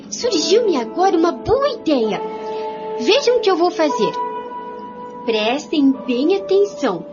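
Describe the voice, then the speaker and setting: High, eager bold little girl. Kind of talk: radio drama acting. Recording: dull recording with music.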